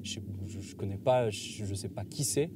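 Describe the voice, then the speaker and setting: A man with a Swiss French accent from Valais, talking as an interview guest in a relaxed, hesitant way with many restarts. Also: fairly low pitch, moderate pace, heavy background noise. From Switzerland. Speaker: guest, freestyle skier and actor. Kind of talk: casual interview conversation.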